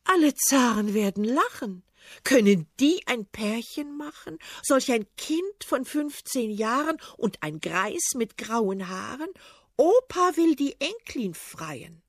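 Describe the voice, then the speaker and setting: A woman's voice: on the high side, sing-song, takes it slowly, dry recording, clean studio sound, full character voice. A woman voicing a young, haughty sea princess with a mocking, commanding manner.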